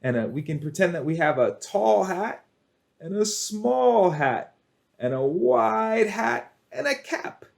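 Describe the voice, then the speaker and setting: A man tells a children's story in a high voice with very animated intonation. He goes slowly and deliberately, leaving dramatic pauses and leaning on repeated words. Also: vocal fry. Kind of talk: expressive picture-book storytelling for children.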